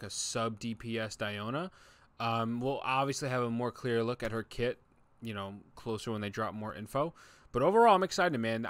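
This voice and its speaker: A young man giving excited, slangy, casual reaction commentary as a video host. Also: mid-range voice, clear, resonant tone, no room sound. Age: young adult. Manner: slangy, hyped, exclaiming. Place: small home room, indoors.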